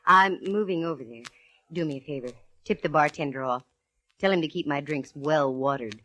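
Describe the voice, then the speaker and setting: An actress playing a cool, sassy, wisecracking private eye with wry, punchy delivery in 1940s radio detective drama. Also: female voice, low-pitched, normal speed, fairly bright tone. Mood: coaxing. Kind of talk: 1940s radio detective drama, first-person narration and dialogue. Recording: faintly noisy vintage radio recording.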